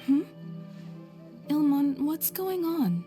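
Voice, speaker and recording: Mellow, gentle young mother. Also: high voice, big pitch swings, slow pace, faint music behind, exaggerated voice. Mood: worried, soothing. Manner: soft, polite, reassuring. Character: gentle, soothing wife and mother. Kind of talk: video game voice acting.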